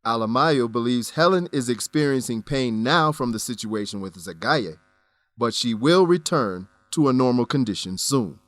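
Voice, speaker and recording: A man presenting scripted educational narration as a lesson host, deliberate and carefully enunciated. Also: mid-range voice, expressive, a bit bright, no echo.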